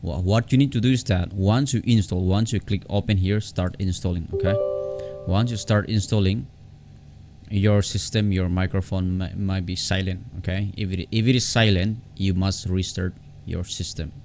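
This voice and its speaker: A man giving an informal step-by-step software tutorial in a halting way, often repeating words and phrases, on a desktop condenser microphone. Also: fairly even intonation, music in the background. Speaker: tech tutorial vlogger. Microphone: separate desktop condenser microphone. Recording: low background noise on home recording.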